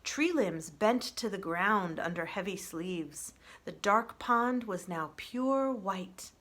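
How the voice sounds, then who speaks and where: Bright-voiced woman reading a children's story. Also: mid-range voice, lively intonation, takes it slowly. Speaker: children's librarian reading aloud. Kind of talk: expressive storybook narration for children.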